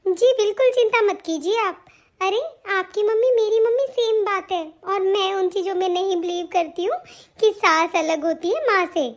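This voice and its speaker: A woman's voice: extremely high pitch, moderate pace. A woman voicing a respectful, eager-to-please young daughter-in-law in a family cartoon, speaking politely and reassuringly. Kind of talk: Hindi family cartoon story dialogue.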